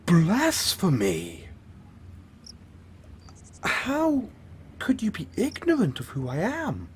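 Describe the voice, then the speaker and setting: A man's voice: sits high, wildly swooping pitch, slow pace, a little hiss or hum, full character voice. A man playing a flamboyant, smug, vain villain in an audio roleplay, speaking in a theatrical, pompous and grandiloquent way to the listener. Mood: indignant disbelief.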